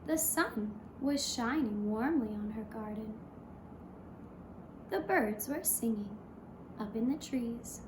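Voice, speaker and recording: A woman with a fairly high voice narrates a children's fairy tale at a very slow pace. She is gentle and measured, pausing between short phrases. The sound is roomy and echoing. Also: animated. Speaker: puppet show storyteller. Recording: roomy echo, clear background noise.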